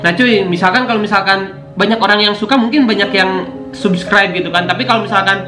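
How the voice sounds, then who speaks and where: A man's voice: high voice, brisk. A young man casually chatting to camera about his motorbike vlogging gear, full of slangy fillers. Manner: slangy, keeps saying "cuy", many fillers. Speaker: motovlogger YouTuber. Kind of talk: casual unboxing chat to camera. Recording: echoey room with guitar music.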